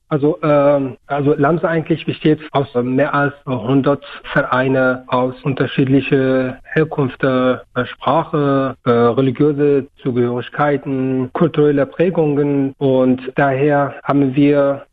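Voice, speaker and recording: Evenly pitched Syrian man on a phone-like line. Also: level tone, measured pace, hardly any pauses. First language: Kurdish. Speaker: interview guest, counsellor at a migrant organisation. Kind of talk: explanatory radio interview answers. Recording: narrow phone-like sound.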